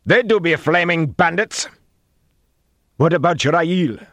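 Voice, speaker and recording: A man voicing a frightened, accusing fighter who shouts in an archaic rural dialect in an audiobook. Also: on the high side, expressive, unhurried, dry recording, clean studio sound, pitched above the performer's own voice.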